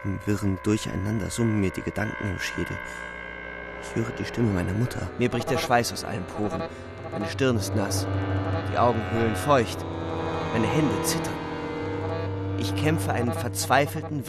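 Low, gravelly young soldier, weary and haunted. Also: male voice, normal speed, over loud music. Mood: fearful, desperate. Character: Paul, young German soldier narrator, weary, anxious, guilt-ridden. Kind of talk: radio drama narration, inward and literary.

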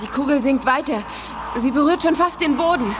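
A watchful telekinetic crewmember in a radio play, urgently calling out what she sees. Her voice is high and lingers in a long reverb. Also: expressive, moderate pace, noisy background. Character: alert female telekinetic crewmember. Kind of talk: 1980s radio drama.

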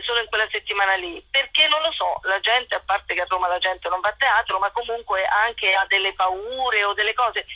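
A woman, an actress and playwright, giving a spontaneous interview in a halting, self-correcting way over a telephone. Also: mid-range voice, dry recording. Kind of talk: spontaneous radio interview about own show. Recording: dull telephone line.

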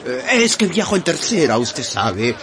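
An actor playing a sly, chummy government agent feigning innocence in a radio drama, evasive and stammering. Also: male voice, medium pitch, sing-song, a little slow, crowd noise. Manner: evasive, stammering, over-familiar. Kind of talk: Spanish-language radio drama acting.

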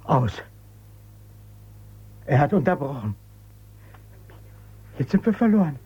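A man's voice: on the high side, big pitch swings, slow, husky, exaggerated voice. An actor playing an anxious man stranded by a rocket crash, speaking earnestly in a radio drama. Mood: despairing. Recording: dull, lo-fi radio sound.

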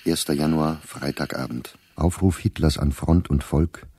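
A man's voice: extremely low pitch, fairly even intonation, measured pace, darkish tone, slightly rough, exaggerated voice. An actor playing a weary, determined middle-aged male diarist in a radio play, reading in a sober, inward way.

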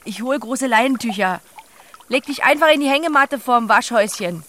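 An actress playing an earthy, unflappable pig farmer in an audio drama, with a plain, dry manner. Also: female voice, fairly high pitch, animated, normal speed, a bit bright. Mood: dry, unfazed. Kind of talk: German audio drama acting.

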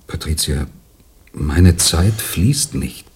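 A very husky, brooding astronaut. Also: male voice, a bass voice, heavily acted. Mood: brooding, intimate. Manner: hushed, halting, ponderous. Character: brooding, alienated male astronaut, intense and introspective. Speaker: actor in a radio play. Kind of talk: 1990s radio drama acting.

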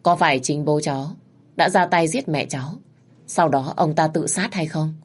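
Woman voicing a sober policeman, measured and official, low voice. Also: husky. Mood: somber. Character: sober male police officer, questioning gently.

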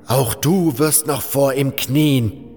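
Haughty zealot priest for fantasy radio play, man performing, solemn proclamations, lifted pitch, music underneath. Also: slow pace, a little mellow, long reverb tail, lightly acted. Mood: solemn, insistent. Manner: pompous, scornful, solemn proclaiming. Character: fanatical, haughty male cult priest of a sleeping god. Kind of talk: fantasy audio drama acting.

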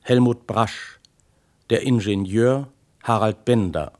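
A man reading scripted broadcast credits as a radio announcer, formal and measured. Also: fairly low pitch, darkish tone.

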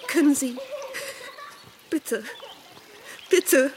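An actress in a radio drama playing a helpless, distressed woman begging for help, halting and agitated. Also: high-pitched, darkish tone, slight background noise. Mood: distraught. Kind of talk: German radio drama acting.

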